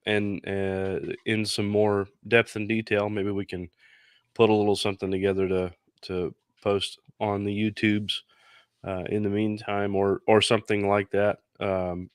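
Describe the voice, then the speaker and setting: A man's voice: on the low side, smooth, no echo, clean studio sound. A man congested from a head cold, chatting casually as a podcast co-host. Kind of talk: casual chat.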